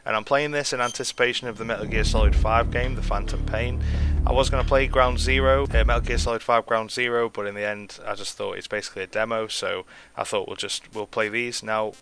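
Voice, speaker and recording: A man's voice: fairly low pitch, fairly even intonation, brisk, over music. A man hosting a gaming video, introducing it in an informal, chatty way. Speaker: gaming channel host. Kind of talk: casual game video introduction.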